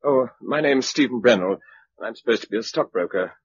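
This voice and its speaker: An actor playing a civil, brisk stockbroker turned defender in a British radio drama, with a polite, chatty manner and a British accent. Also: male voice, medium pitch, animated, close to an everyday voice. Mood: sociable. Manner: polite, chatty, upper-middle-class. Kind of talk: mid-century British radio drama acting. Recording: dull, noisy old radio recording.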